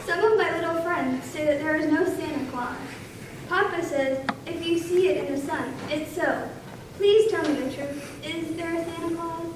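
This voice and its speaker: A woman's voice: very high-pitched, normal speed. A woman playing an earnest eight-year-old girl in a readers theater recitation. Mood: earnest, curious. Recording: echoey hall, distant mic, noisy.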